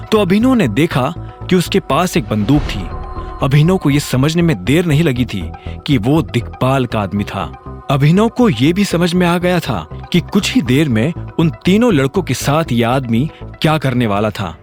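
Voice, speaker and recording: Male audio-series narrator, dramatic scripted delivery, fairly high voice with big pitch movement, music underneath. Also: big pitch swings, unhurried, darkish tone.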